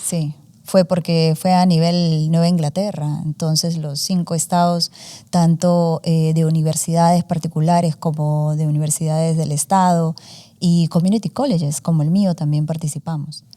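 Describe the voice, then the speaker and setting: A woman's voice: deep voice, level tone, moderate pace, mellow. A woman in her forties, a student journalist, talking through her story in a casual interview with many fillers and English words mixed in. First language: Spanish. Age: 40s.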